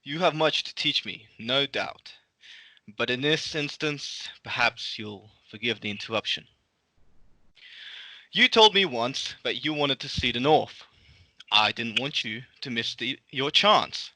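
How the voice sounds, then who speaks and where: A confident, teasing lord in his thirties, read by a man for an amateur table read. The voice is very bright and sharp, with a dry, echo-free sound. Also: medium pitch, lively intonation, a little slow. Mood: teasing, assured.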